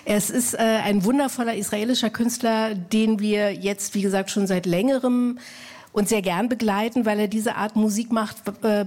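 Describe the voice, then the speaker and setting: A woman hosting a studio radio interview in a measured voice of middle pitch. She pauses often and searches for her words, filling gaps with small hesitations. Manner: warm, hesitant, many fillers. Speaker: radio host.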